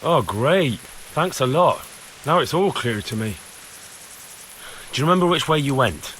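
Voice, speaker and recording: An actor playing a dutiful young medieval squire in a video game, earnest and a little wry. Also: male voice, mid-range voice, very animated, unhurried, slight background noise, low-quality recording. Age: young adult. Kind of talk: video game dialogue acting. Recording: loud background music.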